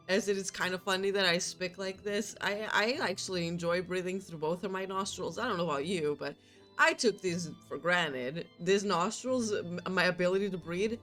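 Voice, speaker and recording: A young woman with a somewhat low voice and a blocked, stuffy nose chats loosely with her viewers. She rambles and laughs easily as she talks. Faint music plays quietly behind her. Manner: chatty, rambling, laughs often. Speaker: streamer. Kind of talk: casual chat with live chat.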